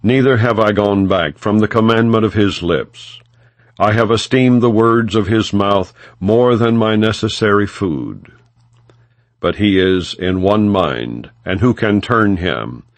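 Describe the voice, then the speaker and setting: A man narrating scripture as an audiobook in a solemn, measured, formal manner, without dramatization. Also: fairly low pitch, dry recording.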